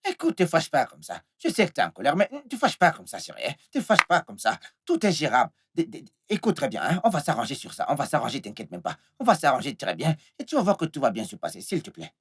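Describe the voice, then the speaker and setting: A man's voice: high voice, very animated, quick pace, fairly bright tone, breathy edge, heavily acted. A man playing a volatile, scheming husband in a film melodrama, repeating himself and stammering through his words. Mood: coaxing, placating. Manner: repetitive, stammering delivery. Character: volatile, scheming unfaithful husband with a short temper.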